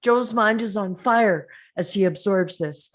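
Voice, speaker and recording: Slow-paced woman narrating a screenplay. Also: mid-range voice. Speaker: stage-direction narrator. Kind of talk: scripted screenplay narration at a table read.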